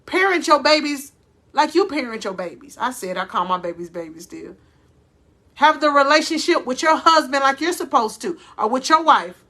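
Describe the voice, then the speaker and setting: A woman life coach giving a faith-based motivational talk, with a loud voice, speaking deliberately slowly and calling her listeners "y'all". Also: sits high, sing-song. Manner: loud voice by her own account.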